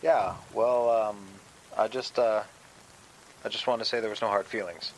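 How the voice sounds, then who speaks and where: A man playing an awkward, apologetic young friend on the phone in an audio drama, speaking in a halting, hesitant way. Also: on the low side, fairly even intonation, slight background noise, close to an everyday voice, poor audio.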